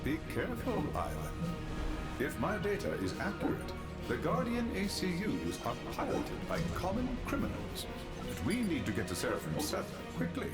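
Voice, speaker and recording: A man's voice: sits high, huge pitch swings, normal speed, loud music behind the voice. An actor playing an elderly, cerebral scientist father in a video game, speaking formally and with precise deliberation. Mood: concerned. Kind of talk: video game cutscene acting.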